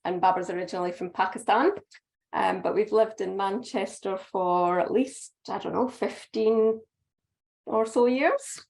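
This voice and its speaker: Scottish woman with gravelly voice. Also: mid-range voice, unhurried, a little mellow. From Scotland. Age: 30s. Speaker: guest. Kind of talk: casual chat on a video call. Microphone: video call.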